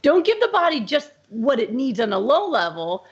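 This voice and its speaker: A woman doctor being interviewed, talking in an emphatic, animated way. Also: sits high, normal speed, highs cut off. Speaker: medical doctor, guest. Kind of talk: conversational interview.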